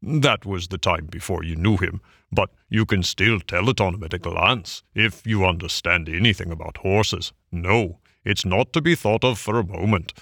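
A man's voice: sits low, moderate pace, vocal fry, few pauses, fairly bright tone, dry recording, studio quality, full character voice. A man voicing a vain, scheming male toad who talks pompously and wheedles. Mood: boastful.

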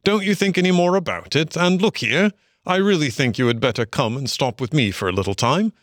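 A man voicing a capable, good-natured male water rat in a storybook, with a brisk, hearty, avuncular manner. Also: mid-range voice, animated, dry recording, studio quality, voice pushed up, close to an everyday voice. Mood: cheery, reassuring. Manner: brisk, hearty, avuncular, old-fashioned British phrasing. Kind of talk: storybook character voice.

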